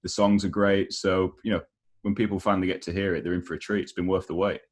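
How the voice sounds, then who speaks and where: Man, low voice, interview host, casual and slangy, matey, enthusiastic. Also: flat delivery, fast talker.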